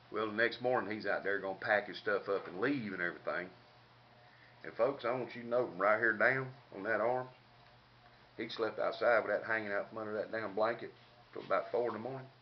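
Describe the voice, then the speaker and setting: A man's voice: lowish voice, brisk. An older man with a Southern US accent tells a casual comic story in a folksy, drawling country way with colorful slang. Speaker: comedic storyteller. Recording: dull lo-fi home recording, background noise.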